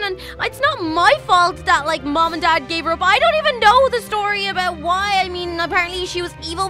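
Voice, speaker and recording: A woman's voice: very high-pitched, sharp tone. A woman voicing a hot-headed, emotional young woman twin in a roleplay, rambling in a breathless, gushing way.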